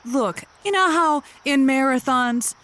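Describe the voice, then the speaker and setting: A woman's voice: high-pitched, a little slow, very noisy. A woman playing a playful, lusty late-night radio call-in host in a comedy audio drama, flirty and theatrical. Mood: amused, supportive.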